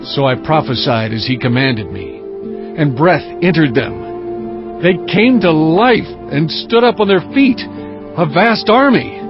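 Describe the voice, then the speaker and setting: An actor playing a reverent prophet, recounting visions in solemn, earnest, dramatic scripture narration. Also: male voice, on the high side, lively intonation, takes it slowly. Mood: earnest, awed. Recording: dull audio under prominent music. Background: music.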